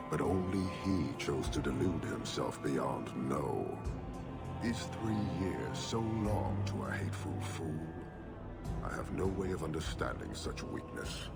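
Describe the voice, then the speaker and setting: An actor playing a stern, proud, huge warrior leader in a video game, speaking in measured, formal, clipped phrases. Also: male voice, full character voice. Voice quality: very deep, gravelly.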